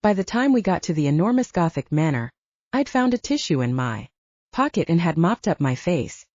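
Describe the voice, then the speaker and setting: A woman's voice: fairly low pitch, sing-song, normal speed, a little mellow, no room sound. A woman reading scripted first-person fiction as an audiobook narrator, acting out the dialogue in a dull-sounding recording.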